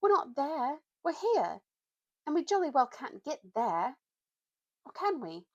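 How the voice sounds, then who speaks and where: Woman playing a high-pitched, spirited schoolgirl. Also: sing-song, measured pace, darkish tone, dull audio, full character voice. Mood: doubtful. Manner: blunt, questioning, British schoolgirl slang. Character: spirited, skeptical schoolgirl, curious and blunt.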